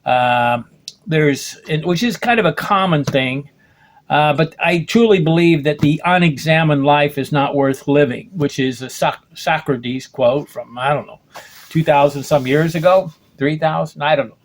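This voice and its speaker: A man's voice: on the high side, measured pace. A man in his late sixties from the United States, talking through a rambling personal video monologue, often restarting sentences and checking in with "okay?". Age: 60s. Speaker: video blogger.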